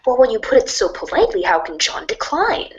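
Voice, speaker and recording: A woman playing a sassy storybook narrator who talks back to commands, expressive and sardonic in read-along style. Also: high-pitched, brisk, lo-fi sound. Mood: dry, sardonic.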